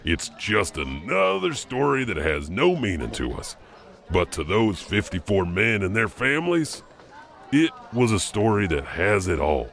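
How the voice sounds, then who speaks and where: A man's voice: on the low side, very animated, a little slow, creaky, fairly bright tone, a little hiss or hum. A man hosting and narrating a horror anthology with a scripted, dramatic storyteller delivery. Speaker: host and narrator.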